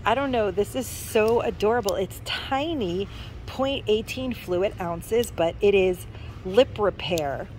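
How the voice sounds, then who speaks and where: A woman's voice: medium pitch, takes it slowly, a little mellow, slight background noise, rough recording. A woman chatting casually and enthusiastically as she shows products in a store, recorded on a handheld phone. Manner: gushing, enthusiastic about products. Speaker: shopping vlogger. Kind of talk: casual store walk-through chat. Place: inside a large retail store.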